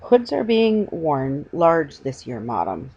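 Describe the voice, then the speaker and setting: Woman doing a fussy, husky shop salesman. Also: deep voice, big pitch swings, slow pace, darkish tone, dull audio, heavily acted. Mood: smooth persuasion.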